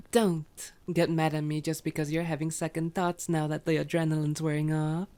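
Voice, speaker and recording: A woman's voice: low voice, dark tone. A woman playing a sardonic, teasing, bloodthirsty female vampire in an ASMR roleplay, drawing out words and sometimes sinking toward a whisper. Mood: defiant, taunting. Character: teasing, unrepentant bloodthirsty female vampire, old yet youthful-sounding.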